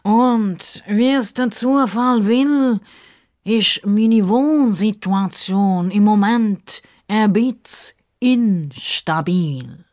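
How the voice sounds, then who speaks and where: Woman putting on a pompous, ponderous crocodile, very slow. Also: medium pitch, a little mellow, voice pushed up. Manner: ponderous, drawn-out, self-important speech.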